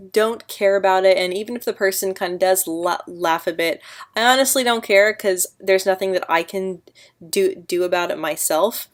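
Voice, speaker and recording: A woman's voice: mid-range voice, clear, resonant tone. A young woman talking casually to camera about her own life. She stutters often, repeating sounds and blocking on words, and speaks in an earnest, candid way. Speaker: vlogger.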